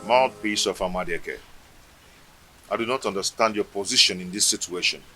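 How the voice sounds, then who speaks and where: A man's voice: deep voice, moderate pace, pushed and bright, faint music behind. A man acting a solemn village figure in a theatrical screen drama, speaking formally. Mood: frustrated, formal.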